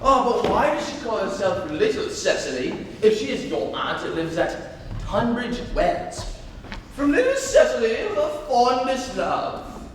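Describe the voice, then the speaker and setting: A teenage male student playing a flippant, teasing young Victorian gentleman in a dramatic stage reading, with an arch, theatrical manner. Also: very high-pitched, sing-song, unhurried, exaggerated voice. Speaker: student actor. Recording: distant, very echoey hall, noisy.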